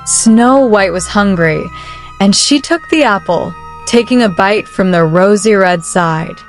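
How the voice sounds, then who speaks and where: A woman narrating a children's picture book aloud with measured, careful phrasing. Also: medium pitch, lively intonation. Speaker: storybook narrator. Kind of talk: children's read-aloud storytelling. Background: soft music.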